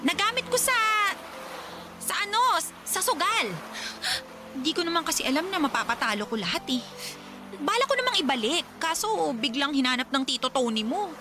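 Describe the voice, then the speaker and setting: Shrill, cruel Filipino mother, swooping pitch. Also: big pitch swings, brisk. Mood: impatient, secretive. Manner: sharp, sarcastic, dismissive. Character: cruel, manipulative gambling mother, scolding her child. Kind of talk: Tagalog radio drama acting. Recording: music under the voice.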